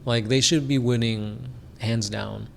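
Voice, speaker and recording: A man co-hosting a casual podcast about a tabletop war game, answering fan questions in a relaxed way, full of "like" fillers and quick laughs. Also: mid-range voice, gravelly, slight background noise. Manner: laid-back, many "like" fillers, laughs often. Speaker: podcast co-host.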